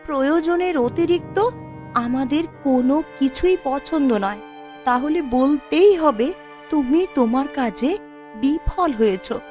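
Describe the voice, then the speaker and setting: A woman's voice: high-pitched, animated, unhurried, darkish tone, faint music behind. A woman voicing a proud, outspoken poor young wife in a moral-tale cartoon, with emphatic, dramatic delivery. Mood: indignant.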